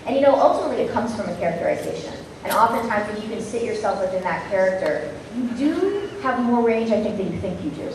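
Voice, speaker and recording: A woman voice actress answering questions on a convention panel, hesitant and thoughtful, with many pauses. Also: medium pitch, big pitch swings, background noise. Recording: echoey hall from far off.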